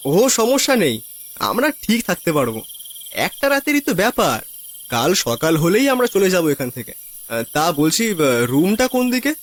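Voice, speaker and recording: A young male cartoon traveller, voiced by a man, chatty and exclaiming. The voice sits high and swings widely in pitch, as in a spooky story. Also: sing-song, moderate pace, long pauses. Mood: easygoing. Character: dismissive young male friend on a night trip.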